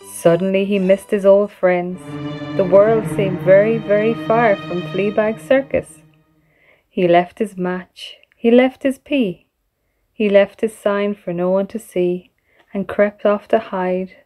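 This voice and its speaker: A woman reading a children's picture book aloud as an expressive bedtime story. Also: medium pitch, slow. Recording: music playing behind the voice. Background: background music.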